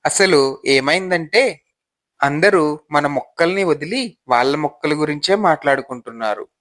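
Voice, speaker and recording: A man's voice: fairly high pitch, lively intonation, normal speed, a bit bright, no echo, clean studio sound. A man playing an envious, brooding village husband who gardens, in a moral storybook tale. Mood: guilty, remorseful.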